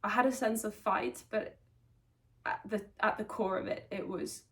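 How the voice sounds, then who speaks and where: A woman's voice: medium pitch, steady tone, fairly bright tone. Earnest woman speaking a little off-mic. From Sweden. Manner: earnest and reflective, with halting restarts. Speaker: vlogger. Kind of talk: personal confessional storytelling. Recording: voice slightly distant from the mic.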